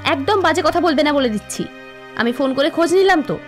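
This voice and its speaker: Woman acting a high-voiced emotional cartoon housewife. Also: expressive, fairly quick. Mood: indignant. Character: emotional village family woman, pleading and indignant. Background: music.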